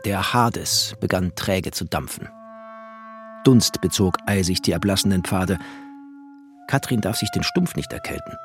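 An actor playing a bookish, sardonic refugee who narrates a literary radio play in a deliberate, biting, poetic way. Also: male voice, deep voice, expressive, faint music behind. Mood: brooding, poetic. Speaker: narrator and male lead.

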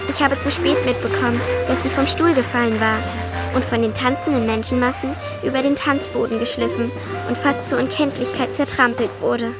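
Actress as young girl, high voice, eager, affectionate, children's radio play, music underneath. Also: fairly even intonation, a little fast, lightly acted. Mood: cheerful narrating.

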